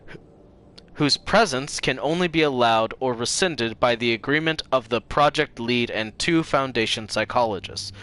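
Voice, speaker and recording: A man's voice: mid-range voice, pushed and bright. A man hosting a game livestream, switching between casual chat and dramatic reading aloud. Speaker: livestream host playing games and reading aloud.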